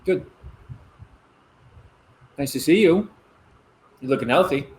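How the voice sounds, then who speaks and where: A man's voice: on the high side, expressive, slow, a little mellow, a little hiss or hum, rough recording. A man playing an eager, placating adult son who is a military officer, in naturalistic play dialogue.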